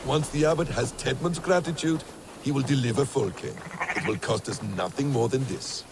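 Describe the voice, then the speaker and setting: An actor playing a shrewd, secretive assassin mentor in a video game, speaking in a measured, formal, instructive way. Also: male voice, mid-range voice, close to an everyday voice. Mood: resolute. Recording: clear noise behind dialogue.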